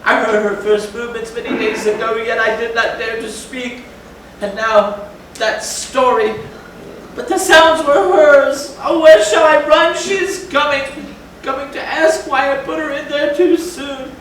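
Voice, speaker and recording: A man's voice: very high-pitched, unhurried, fairly bright tone. An actor playing a terrified, guilt-ridden nervous man in a fevered, theatrical gothic stage reading. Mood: haunted confession. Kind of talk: dramatic readers' theatre of a gothic tale. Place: echoey indoor theatre, live stage reading. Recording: very echoey hall, distant mic, faint noise.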